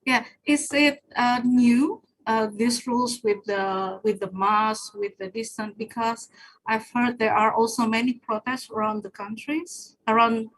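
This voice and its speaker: A woman's voice: on the high side, steady tone, a little slow, a bit bright, low-quality recording. A woman hosting a webinar over a video call, mostly giving brief acknowledgements and asking short follow-up questions. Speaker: webinar host. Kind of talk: interviewing in a webinar.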